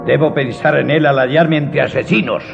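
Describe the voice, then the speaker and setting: A man's voice: fairly high pitch, fairly even intonation, normal speed, vocal fry. An actor playing a proud, defiant general held by his enemies, speaking scornfully in a radio drama. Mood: scornful defiance. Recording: dull sound, long reverb, music behind.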